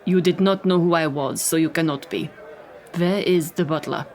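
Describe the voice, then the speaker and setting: A man's voice: on the high side, darkish tone, a faint crowd, voice pushed up, lightly acted. Man putting on a haughty Russian princess. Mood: imperious. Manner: clipped, commanding, slow and haughty. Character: haughty cold Russian princess, woman, imperious.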